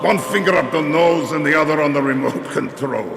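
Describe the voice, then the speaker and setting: A man's voice: fairly high pitch, a little fast, creaky. A man playing a smug, taunting villain in a video game, with a mocking, theatrical, gloating manner. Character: smug, taunting male Soviet villain unmasking himself. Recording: echoey hall with music behind.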